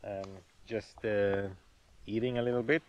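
A man in his thirties vlogging outdoors by a forest lake, talking into a camera held at arm's length, relaxed and hesitant with many fillers. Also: fairly low pitch, slow, highs cut off, over music. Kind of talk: casual outdoor vlog.

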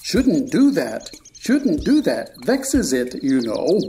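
A woman playing a grumpy, slow-witted frog footman in a storybook play, blunt and ungrammatical. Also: low voice, big pitch swings, dark tone, faint music behind, exaggerated voice. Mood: sullen, obtuse. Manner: dim, blunt, ungrammatical speech. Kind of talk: amateur dramatized audiobook reading.

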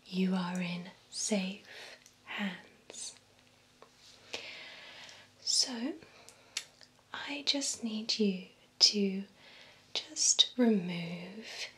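Woman playing a slow whispering vampire beautician. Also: mid-range voice, fairly bright tone. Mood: soothing, poised. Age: young adult. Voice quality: breathy whisper. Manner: slow, soothing, formal client care. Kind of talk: ASMR role-play, whispered personal attention. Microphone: close binaural microphone. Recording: close whisper over clear ambient noise.

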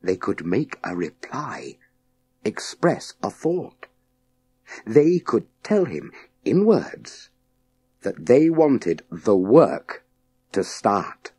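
Deep-voiced male storyteller, slow and expressive. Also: slightly rough. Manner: measured, dramatic delivery with deliberate pauses. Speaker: audiobook narrator. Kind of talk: scripted storytelling narration of a children's science fiction novel.

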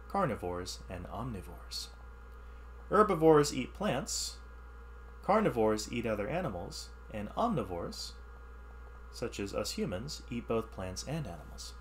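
A man reading a science ebook aloud as a teacher, pausing to explain the text in simpler words. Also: mid-range voice, a little hiss or hum. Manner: reads text then explains in plain words. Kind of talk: read-aloud lesson with asides.

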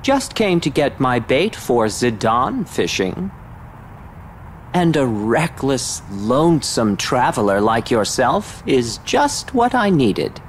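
A man's voice: medium pitch, very animated, slow, a little hiss or hum. A man playing a vain, scheming game villain, taunting and mocking in a grandiose, theatrical manner. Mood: silky mockery. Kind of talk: video game cutscene acting.

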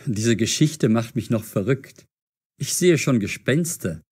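A man playing a friendly young man caught in a mystery, speaking clearly and deliberately in an audio drama. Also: mid-range voice, animated, darkish tone. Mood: exasperated.

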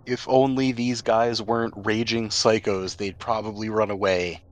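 A man's voice: on the low side, little pitch movement, moderate pace, very noisy. A man running a tabletop role-playing game among friends as game master, narrating the action and ruling on dice.

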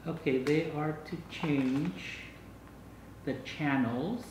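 Older guy vlogging at home, bit high voice, casual gadget chat, mic kinda far off. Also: takes it slowly, a little mellow. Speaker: vlog host. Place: dining room at home. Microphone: camera microphone across the room. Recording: echoey room, distant mic, faint noise.

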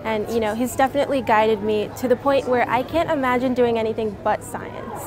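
A woman's voice: a little high, brisk. Eager teenage girl in a noisy hall. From New Orleans, Louisiana. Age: teens. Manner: eager, chatty, many "you know" fillers. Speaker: science fair student. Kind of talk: casual interview answers. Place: busy convention hall. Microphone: lapel mic. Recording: crowd chatter behind.

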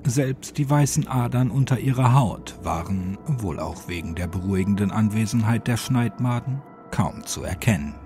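A man's voice: fairly low pitch, expressive, moderate pace, few pauses, a little mellow. A man reading a scripted horror audiobook as its narrator. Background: music.

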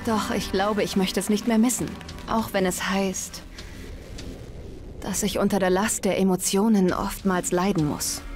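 A woman's voice: mid-range voice, fairly even intonation, unhurried, a little mellow, soft background music, lightly acted. Formal, earnest warrior woman played by an actress. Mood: earnest, reflective. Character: earnest, formal warrior woman, logical but newly emotional. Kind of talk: video game dialogue acting.

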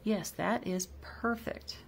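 A woman's voice: medium pitch, takes it slowly, gravelly, soft background music. A woman chatting casually as she decorates her home kitchen, thinking aloud about each piece. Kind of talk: casual voice-over demo.

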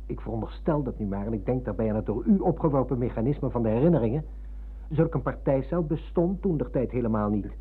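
A man's voice: medium pitch, slightly rough, close to an everyday voice. An actor playing a formally polite, pedantic man who coolly probes and needles like an interrogator, in a Dutch radio drama. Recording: muffled, dull old radio recording.

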